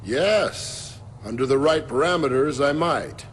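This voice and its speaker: A man's voice: medium pitch, unhurried, slight background noise, close to an everyday voice. A man playing a cold, calculating computer program villain in a video game, clipped, formal and menacing. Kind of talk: video game cutscene voice acting. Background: game music.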